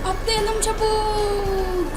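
A woman's voice: very high-pitched, drawn-out words, very noisy, full character voice. A woman voicing an eager, childish spider boy in a toy cartoon. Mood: excited. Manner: childish, excitable. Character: eager boyish spider kid.

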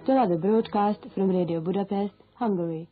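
A woman's voice: mid-range voice, a little fast. A woman announcer reading a scripted station greeting for an international shortwave broadcast. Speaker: radio announcer. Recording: tinny, narrow-band shortwave radio with loud music.

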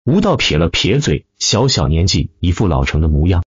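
Husky, animated male audiobook narrator. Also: low-pitched, normal speed, dry recording, dull audio. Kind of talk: dramatic scripted web-novel narration.

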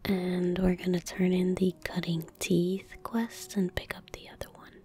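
A young woman murmurs softly into a close desk microphone in a breathy whisper. Her delivery is very slow and gentle, with little rise or fall in pitch. It is relaxed ASMR commentary over gameplay. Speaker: ASMR gaming streamer. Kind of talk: soft-spoken ASMR game commentary. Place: indoor room at a desk.

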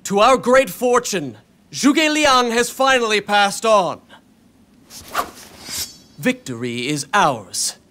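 An actor playing an elegant, flamboyant general who adores beauty in a war video game, speaking in a florid, theatrical way. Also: male voice, high-pitched, very animated, takes it slowly, long pauses, pushed and bright, a little hiss or hum, full character voice. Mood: elated, theatrical. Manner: florid, dramatic.

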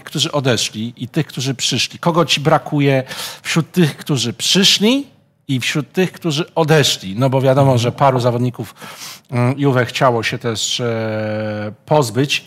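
A man's voice: mid-range voice, fairly bright tone. A man hosting a football talk show, moderating and posing questions, in a TV studio. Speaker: host.

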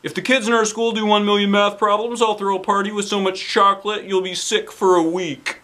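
A man voicing an emphatic, commanding male school principal in a children's storybook, booming and declamatory. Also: little pitch movement, a little fast, pushed and bright.

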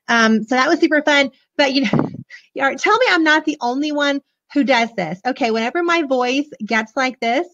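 A bubbly woman in her forties talks in a hoarse, high voice while chatting with her live viewers about a children's book. The webcam audio is dull and lo-fi. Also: moderate pace, fairly bright tone. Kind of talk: casual live book show-and-tell. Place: small room indoors, decorated backdrop. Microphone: webcam at desk.

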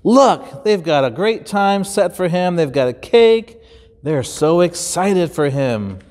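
A man in his fifties with an American accent, a pastor reading a children's story aloud in an expressive, deliberate way. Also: high-pitched. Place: large indoor church stage. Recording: roomy hall with long reverb.

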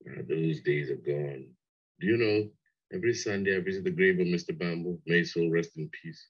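An actor playing a polite, needling former chauffeur who dwells wistfully on old days in a 1960s radio drama. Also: male voice, low voice, brisk, husky. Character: nostalgic, ingratiating former chauffeur, loyal visitor. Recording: dull old 1960s radio play recording.

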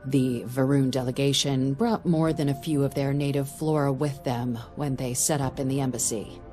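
An actress playing a composed woman diplomatic official in a game, giving a briefing in a measured, formal, dry way. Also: extremely low pitch, fairly even intonation, over music. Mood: measured, wry. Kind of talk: scripted game dialogue, mission briefing.

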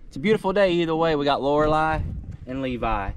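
A man, chatting casually and coaching his young son while bass fishing from a boat. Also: lively intonation, fast. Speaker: fishing channel host. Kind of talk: casual outdoor vlog talk. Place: outdoors on a bass boat on a river. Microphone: action camera on the boat. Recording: outdoor camera audio with clear wind and water noise.

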